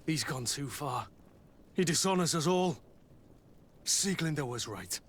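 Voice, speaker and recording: A man's voice: on the high side, expressive, slow, fairly bright tone, slight background noise. A man playing a stern, honourable mercenary soldier in a video game cutscene, terse and blunt. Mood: indignant.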